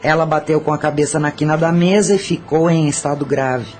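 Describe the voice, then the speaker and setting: A woman's voice: deep voice, steady tone, fairly quick, a little mellow, a little hiss or hum, close to an everyday voice. An actress voicing a tormented female spirit, a former brothel madam confessing matter-of-factly with cold regret, in a Brazilian accent. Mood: cold confession. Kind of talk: Portuguese-language audio drama acting.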